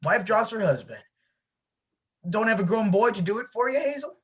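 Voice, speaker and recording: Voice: medium pitch, sing-song, moderate pace, husky. An amateur actor playing a folksy, businesslike village man in charge, with a hesitant manner. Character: folksy, businesslike male village official running the lottery. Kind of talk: amateur one-act play acting. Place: filmed on a video call.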